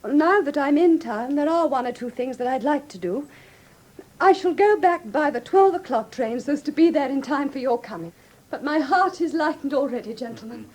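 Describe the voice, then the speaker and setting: Soft, high-voiced genteel English young woman. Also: animated, normal speed. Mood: relieved, grateful. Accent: English Received Pronunciation. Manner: polite, earnest, fragile. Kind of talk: classic radio drama acting.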